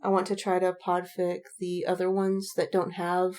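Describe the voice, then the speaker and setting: A woman's voice: on the low side, monotone, normal speed, darkish tone. A woman in casual podcast chat about fandom, speaking emphatically with slang and swearing and repeating words for effect. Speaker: podcast co-host.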